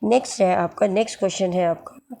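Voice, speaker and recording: A woman with a hoarse throat and an Indian English accent, teaching English literature for exams and explaining each word slowly. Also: medium pitch, mellow. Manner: slow, explaining word by word, checks understanding. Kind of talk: exam-prep lecture in mixed Hindi and English.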